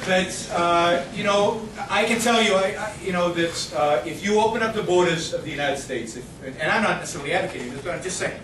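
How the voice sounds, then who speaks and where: A man's voice: sits high, fairly quick, few pauses. A middle-aged man from Israel, a guest speaker answering audience questions in an emphatic, argumentative way with frequent restarts. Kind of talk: impassioned lecture Q&A. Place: university lecture hall. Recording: echoey noisy hall from far off.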